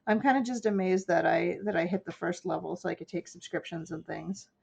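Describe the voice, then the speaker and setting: Stuffy-nosed woman with a gravelly edge. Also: lowish voice, steady tone, a little fast. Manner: chatty, explaining her steps as she works. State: stuffy nose from a cold. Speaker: artist streaming her painting. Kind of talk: casual chat while painting.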